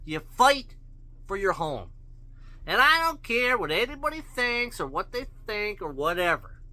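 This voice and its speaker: Male vlogger, blunt profane ranter; slightly raised pitch, piercing tense timbre. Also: very animated, unhurried, pushed and bright. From Canada. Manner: blunt, profane, rambling rhetorical questions. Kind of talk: opinion rant to camera.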